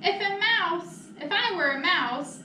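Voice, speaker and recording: A woman's voice: extremely high pitch, lively intonation, takes it slowly, bright, forceful tone, exaggerated voice. A woman voicing a small, boastful, stubborn mouse in a picture-book read-aloud, cocky and insistent, in an echoey room. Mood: breezy, confident. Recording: echoey room, distant mic, noisy.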